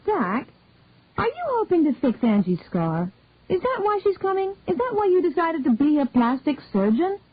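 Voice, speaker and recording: A woman voicing a worried middle-aged mother who asks soft, probing questions. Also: high-pitched, very animated, moderate pace, voice pushed up. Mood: uneasy. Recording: narrow phone-like dry sound.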